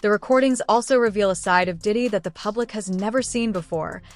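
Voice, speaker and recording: A woman's voice: mid-range voice, brisk, faint music behind. A woman reading scripted news narration in a dramatic style.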